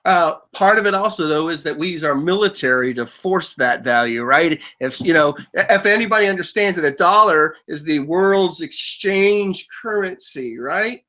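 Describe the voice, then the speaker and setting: High, bright-voiced man. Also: animated, moderate pace, few pauses. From United States. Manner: stammering restarts, chuckles, explains at length. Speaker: podcast host. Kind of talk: conversational talk show.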